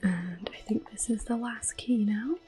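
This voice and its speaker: A woman doing ASMR gameplay commentary in a soft, breathy, gentle voice, mostly whispered. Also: mid-range voice, faint music behind. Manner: gentle, unhurried. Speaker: game streamer.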